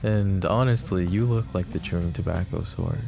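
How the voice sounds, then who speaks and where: A man voicing a possessive, menacing mafia boss in a roleplay audio drama, talking slow and taunting, with sweet pet names. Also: deep voice, over music. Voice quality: soft, smooth and taunting. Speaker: voice actor.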